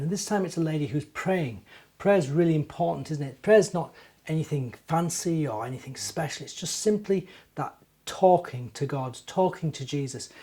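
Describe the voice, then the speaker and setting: Mellow-voiced man reading to children. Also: on the high side, husky. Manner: asks the young listeners questions. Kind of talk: children's story time, narration and gentle talk.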